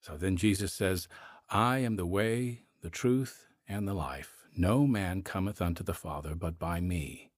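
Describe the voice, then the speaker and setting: Deep husky gentle male pastor. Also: unhurried, no echo, studio quality. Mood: earnest, gentle. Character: gentle, earnest male pastor counselling a convert. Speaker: actor in a radio drama. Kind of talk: audio drama acting, reading scripture aloud.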